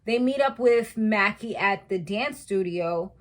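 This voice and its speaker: A woman's voice: mid-range voice, animated, unhurried. A woman in her thirties casually recapping a reality show, chatty and slangy, laughing at her own asides. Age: 30s.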